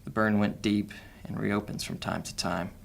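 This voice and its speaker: A man playing a gravelly, cunning master thief. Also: on the low side, flat delivery, moderate pace. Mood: sly. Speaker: actor. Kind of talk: audio drama acting.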